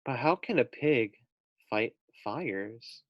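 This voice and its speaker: A man's voice: medium pitch, expressive, slow pace, dry recording, muffled recording. Storybook pet pig, male, earnest dreamer, read by a man. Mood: wistful.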